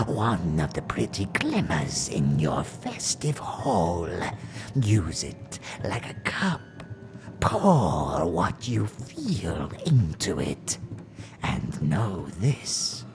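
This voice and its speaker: A man voicing an ancient, cruel hag in an audio drama, with a raspy hissing croak and archaic, inverted phrasing. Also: fairly low pitch, full character voice. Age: older. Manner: archaic inverted syntax, drawn-out menacing delivery. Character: ancient monstrous female night hag crone, cruel and cryptically amused.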